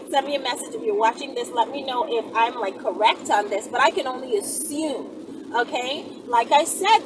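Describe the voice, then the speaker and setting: A woman talking casually and off the cuff to her viewers, emphatic and often checking that they agree. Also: high-pitched. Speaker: video channel host answering viewer questions. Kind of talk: casual unscripted talk to viewers. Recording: distant mic, clear background noise.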